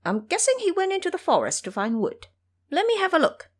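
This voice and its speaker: A woman's voice: very high voice, huge pitch swings, fairly quick, pitched above the performer's own voice, heavily acted. A woman voicing a cocky, scheming male monkey warrior in an audio drama, boastful and theatrical. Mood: confident.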